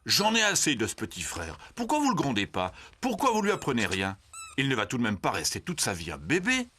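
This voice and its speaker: A man's voice: mid-range voice, wildly swooping pitch, a little fast, pushed and bright, a little hiss or hum, pitched above the performer's own voice. A man voicing an exasperated young girl rabbit, a protesting big sister in a children's storybook. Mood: angry. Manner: indignant, protesting.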